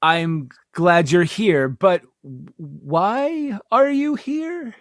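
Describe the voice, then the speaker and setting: Man acting a self-important starship captain, high male voice, halting, flustered, comedic radio theater. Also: animated, no room sound, clean studio sound, exaggerated voice. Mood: awkward, flustered. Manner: halting, stammering when awkward.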